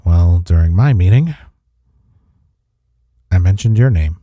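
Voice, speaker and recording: A man acting in an intimate audio roleplay as a gruff but caring office rival, speaking in a husky, soft, reassuring way. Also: low voice, animated, slow, no echo, heavily acted. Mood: sincere, admiring.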